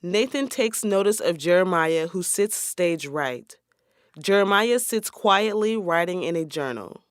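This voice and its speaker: Narrator for radio play, scripted stage directions, medium pitch, dry recording. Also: expressive, a little slow, clear, resonant tone, dry recording.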